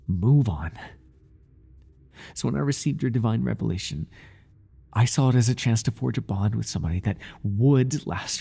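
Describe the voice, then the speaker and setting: A man playing an obsessive, groveling high priest who serves a dark master, speaking in a pleading, servile way in an intimate ASMR roleplay. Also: creaky, husky. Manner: pleading, servile, breathless with devotion.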